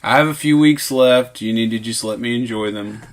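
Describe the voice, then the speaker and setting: A man's voice: medium pitch, moderate pace. Easygoing Southern man in his late twenties. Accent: Southern American. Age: late 20s. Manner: easygoing, self-deprecating storyteller. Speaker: podcast co-host, teacher. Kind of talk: casual chat. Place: home man cave.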